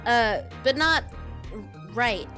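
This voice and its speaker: Casting: woman playing pushy teen girl for game dub, bright and high, very animated delivery. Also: extremely slow, faint music behind, exaggerated voice. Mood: eager, coaxing. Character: perky, pushy teenage girl from a courtroom game. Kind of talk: live fan dub of a video game.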